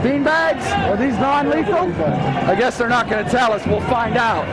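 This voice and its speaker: A man's voice: extremely high pitch, lively intonation, normal speed, loud music behind the voice. A man speaking as a protest activist and citizen reporter, declamatory and pleading, making an impassioned speech out on a city street. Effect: bullhorn amplification. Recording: very noisy outdoor street, loud crowd, traffic.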